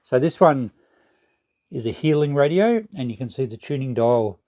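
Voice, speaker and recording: Male Australian vlog host, medium pitch, informal and musing, very little room sound. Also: lively intonation, normal speed, warm tone, no room sound. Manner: musing, thinking aloud. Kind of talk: casual narrated walkthrough of a shed clean-out.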